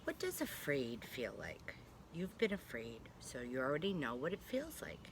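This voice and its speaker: A woman preschool teacher reading a storybook aloud to young children, gently and reassuringly, with chatty asides. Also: rumbling low, wildly swooping pitch, moderate pace, very noisy. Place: at home.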